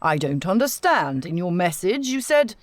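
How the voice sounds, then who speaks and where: Lady doing a zealous witchfinder guy, pompous and preachy, pitch all over the place, storybook drama. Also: big pitch swings, normal speed. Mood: baffled, desperate. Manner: declamatory, pompous.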